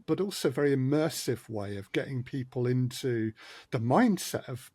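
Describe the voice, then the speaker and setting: A man's voice: medium pitch, expressive, normal speed, a little mellow, husky, dry recording. A man who makes audio drama, talking as a podcast guest in casual chat, thoughtful and wry, with hesitant restarts.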